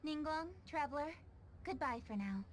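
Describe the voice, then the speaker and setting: An actress playing a disciplined young official in a video game, businesslike, formal and decisive. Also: female voice, sits high, animated, moderate pace, slight background noise. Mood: brisk, courteous. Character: brisk, disciplined young female official, courteous. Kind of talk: English video game voice acting.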